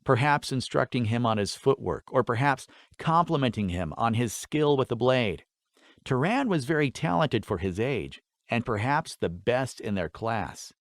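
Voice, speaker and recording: A man narrating a fantasy story in an expressive, scripted audiobook style. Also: medium pitch, normal speed, no echo.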